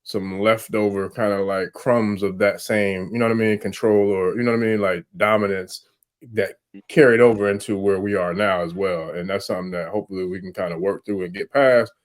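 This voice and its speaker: A man's voice: lowish voice, fairly even intonation, a little fast. A man hosting a podcast, talking in a laid-back, slangy way full of fillers like "kinda" and "you know what I mean". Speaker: podcast host. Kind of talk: casual conversation.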